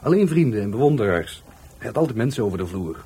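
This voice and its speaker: An actor with a Netherlands Dutch accent playing a touchy, defiant law-school dropout in a radio play, by turns hesitant and scornful. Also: male voice, lowish voice, big pitch swings, quick pace, warm tone, breathy edge, exaggerated voice. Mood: hesitant, explaining. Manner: hesitant, then scornful and argumentative. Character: defiant, touchy young male law-school dropout, murder victim's helper.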